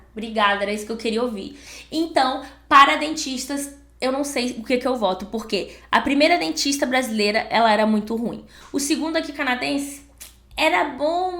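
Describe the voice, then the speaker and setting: A young Brazilian woman with a Brazilian Portuguese accent, talking straight to camera in a casual, animated vlog and acting out what other people told her. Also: sits high. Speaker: vlogger. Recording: echoey room.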